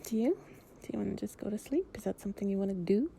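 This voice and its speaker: A woman speaking softly, often in a breathy whisper, in an intimate, affectionate and soothing improvised girlfriend ASMR roleplay. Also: medium pitch, expressive, quick pace, slight background noise. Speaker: audio roleplay performer playing an affectionate girlfriend.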